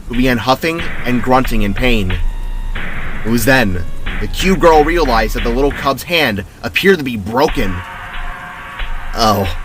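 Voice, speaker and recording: A man's voice: mid-range voice, fairly bright tone. A man narrating a scripted dramatic story as its storyteller.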